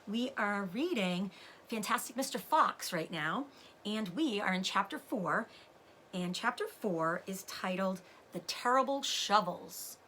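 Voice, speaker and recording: A woman reads a children's story aloud in a bright, expressive voice with lively pitch. She explains things in a teacherly way, and there is faint background noise. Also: unhurried. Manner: animated, explains words to children. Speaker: teacher-like read-aloud host.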